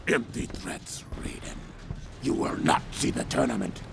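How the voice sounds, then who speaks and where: A sneering monster brute from a video game. His voice is an extreme gravelly growl full of menace, with loud noise all around it. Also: mid-range voice, lively intonation, a little slow. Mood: sneering, threatening. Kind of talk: video game cutscene acting.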